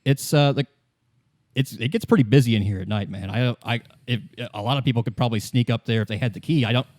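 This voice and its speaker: A man plays a flustered male hotel manager in a tabletop game. He is defensive and apologetic, stumbling over his words at a middling pitch. The sound is dry. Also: a little mellow, dry recording, close to an everyday voice. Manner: defensive, halting, stammering.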